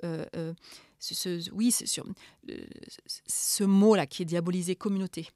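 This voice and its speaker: A middle-aged woman from Morocco answering interview questions thoughtfully, often pausing and repeating words as she searches for them. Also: on the low side, steady tone, mellow, no room sound, clean studio sound. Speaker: author and political scientist.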